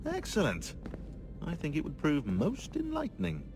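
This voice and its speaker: An actor playing a bookish British researcher in a video game, chatty and dry with a slightly pedantic, opinionated way of talking. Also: male voice, on the high side, huge pitch swings, moderate pace, music in the background. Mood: chatty, wry. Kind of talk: naturalistic video game acting.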